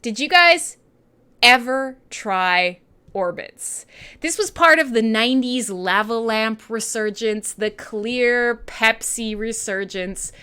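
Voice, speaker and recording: A woman's voice: fairly high pitch, takes it slowly, clean tone. A woman in her thirties with a Canadian accent, hosting a radio show in irreverent, sweary, sarcastic casual chat with listeners. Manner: sweary, sarcastic, animated, laughs often.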